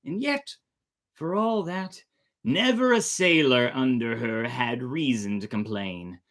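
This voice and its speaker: A man's voice: mid-range voice, animated, slow pace, a bit bright. A man voicing an old-time pirate sailor who tells a sea yarn in a theatrical storybook reading. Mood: grave storytelling.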